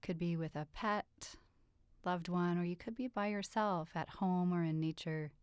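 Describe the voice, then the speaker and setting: A woman leading a guided relaxation meditation with soothing, gentle instructions and unhurried pauses. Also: on the low side, clean tone, no room sound. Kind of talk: guided meditation, yoga nidra.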